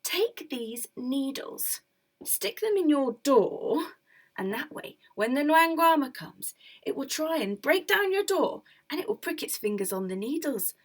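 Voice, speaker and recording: An eager, helpful peddler man from a storybook, offering his wares, as a woman storyteller puts him on. His voice sits high and lively, quick to reassure. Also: exaggerated voice.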